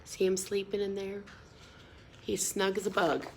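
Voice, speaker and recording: A woman reading a picture book aloud to children in a sing-song, expressive way, pausing to explain words and ask questions. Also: medium pitch, slight background noise. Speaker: storybook reader.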